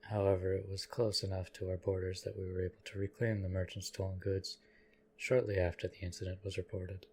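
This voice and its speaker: Man playing a deep, flat-voiced stoic knight. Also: slight background noise. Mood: formal reporting. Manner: deadpan, formal, measured, archaic courtly phrasing. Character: stoic, loyal male knight, formal and devoted. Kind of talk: scripted audio roleplay addressed to the listener.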